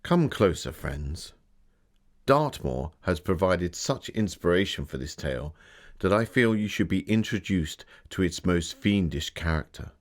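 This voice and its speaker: An actor playing a Victorian gentleman author who recounts his dark tales in a measured, formal and theatrical way. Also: deep voice, lively intonation. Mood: inviting, ominous. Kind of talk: dramatic scripted storytelling for an exhibit.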